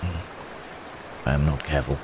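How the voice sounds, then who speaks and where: Man acting a very deep, slow wounded werewolf. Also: noisy background. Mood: weary, polite. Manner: halting, polite, old-fashioned phrasing with groans. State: strained with pain. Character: wounded, weary, courteous male werewolf hunted by men. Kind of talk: intimate ASMR roleplay acting.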